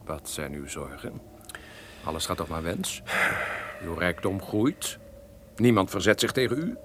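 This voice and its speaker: An actor playing a gruff, surly henchman with a murderous secret, curt and cold in an old-fashioned radio drama. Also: low-pitched, very animated, unhurried. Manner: curt, cold, insolent, theatrical. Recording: noisy old radio play recording.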